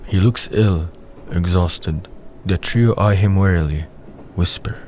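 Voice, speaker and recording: A man's voice: low voice, slow, mellow, a little hiss or hum. A man reading scripted narration for an audio drama.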